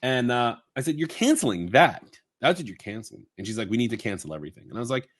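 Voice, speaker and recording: A man from Long Island, co-hosting a podcast in rambling, animated casual chat. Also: medium pitch, fast talker, husky. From Long Island, New York. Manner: rambling, animated storytelling with fillers and asides. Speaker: podcast co-host.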